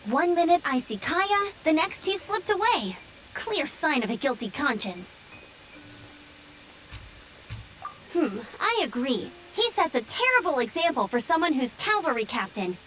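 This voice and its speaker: An actress playing a perky, earnest teenage girl knight in a video game, with an eager, expressive delivery. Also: high-pitched, normal speed. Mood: disapproving. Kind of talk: scripted video game acting. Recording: noisy game stream audio.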